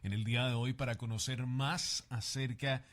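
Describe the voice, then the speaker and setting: A man's voice: mid-range voice, steady tone, normal speed, a bit bright. A man co-hosting a radio program, courteous and formal, with hesitant fillers. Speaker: co-host.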